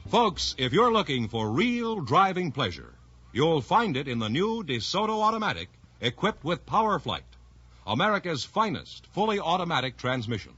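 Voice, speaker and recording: A man's voice: fairly high pitch, big pitch swings, normal speed, pushed and bright. A man announcing a scripted 1950s radio commercial with a polished salesman's delivery, on an old recording. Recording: dull vintage radio recording.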